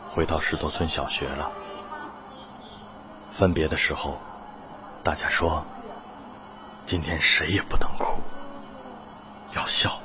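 A man playing a devoted volunteer teacher in a radio drama, telling his story in an earnest, reflective, emotive way. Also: rumbling low. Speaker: narrator and lead character. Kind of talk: dramatic radio-play narration and dialogue. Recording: muffled radio drama under prominent music.

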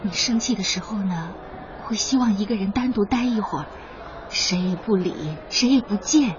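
A tender mother played by a woman speaks with quiet sorrow in a radio drama, soothing her child in a soft voice. The recording is a little dull, with plain ambient noise behind her. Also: medium pitch, expressive, moderate pace, natural voice. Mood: sorrowful, soothing. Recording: muffled, with noisy station ambience.

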